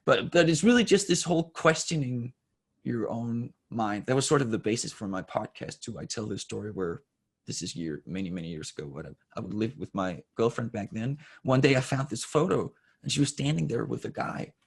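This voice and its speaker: Husky, creaky-voiced Danish man in his forties. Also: medium pitch, animated. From Denmark. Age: 40s. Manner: halting, self-correcting with repeated words. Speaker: interview guest. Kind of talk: candid conversational interview.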